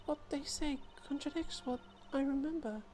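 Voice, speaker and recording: A woman's voice: high voice, normal speed, mellow, slight background noise, natural voice. A woman voicing an anxious, confused young woman in a game fan dub, speaking hesitantly and earnestly. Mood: troubled, earnest. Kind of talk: fan-dubbed game dialogue.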